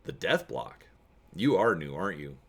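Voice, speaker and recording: A man's voice: low voice, very animated, moderate pace, a bit bright, husky, heavily acted. Man voicing a grim, wry old colonel. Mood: grim, confiding. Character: grim, weathered older colonel, friendly yet cold and ruthless. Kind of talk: dramatic story reading.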